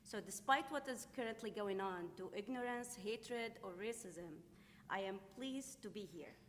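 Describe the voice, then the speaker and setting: Syrian-accented woman reading a statement. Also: medium pitch. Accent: Syrian Arabic. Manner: careful, deliberate, slightly halting. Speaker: panelist, refugee volunteer. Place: conference hall. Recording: long reverb with faint noise in a hall.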